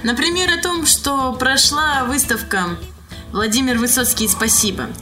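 A woman with a fairly high voice co-hosts a radio show, relaying news items in a conversational style. Music plays clearly behind her as she talks. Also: unhurried, sharp tone. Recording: music playing under the talk.

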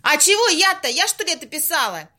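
Bossy, snippy woman running a séance in a radio play, amateur actress: very high voice, grumbling colloquial delivery. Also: big pitch swings, fairly quick, pushed and bright, full character voice. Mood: irritated. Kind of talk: amateur audio play acting.

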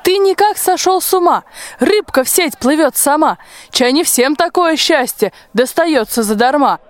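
A woman's voice: high voice, animated, normal speed, dry recording. A woman in a radio play acting a bossy, scolding village matchmaker in rhymed verse. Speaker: school student actor.

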